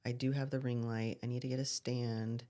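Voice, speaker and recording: A woman's voice: a bass voice, little pitch movement, moderate pace, dark tone. A forty-year-old woman co-hosting a casual podcast, chatty and upbeat, laughing and filling her talk with "like". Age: 40s. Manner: chatty, upbeat, laughs and uses many fillers. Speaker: podcast co-host.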